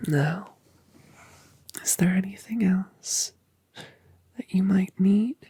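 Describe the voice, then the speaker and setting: Colossal doting dragon mother, a woman whispering her: breathy, very slow, hushed ASMR. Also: medium pitch, no echo. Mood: tender. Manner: soft, slow, tender reassurance. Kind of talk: ASMR roleplay audio.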